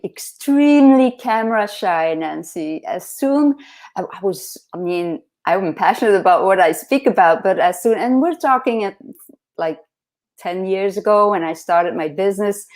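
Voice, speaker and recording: A soft-spoken woman hosting a casual interview over a video call, earnest and reflective, laughing often. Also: medium pitch. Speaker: host.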